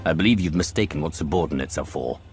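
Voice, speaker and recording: An actor playing a composed, commanding dark lord in a video game, measured, formal and superior. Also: male voice, extremely low pitch. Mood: cool, pensive. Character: haughty, composed male Sith lord, cool and commanding. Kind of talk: scripted video game drama acting.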